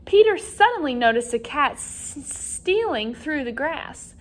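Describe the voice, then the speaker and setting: A woman's voice: high-pitched, sing-song, a little slow. A woman music teacher speaking expressively to young children in an online lesson and story read-aloud.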